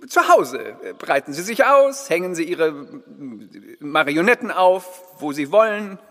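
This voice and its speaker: A man playing a genial, bumbling king in an audio drama, speaking in a halting, hesitant way. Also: a little high, very animated, fairly bright tone, in a large hall. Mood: flustered, welcoming.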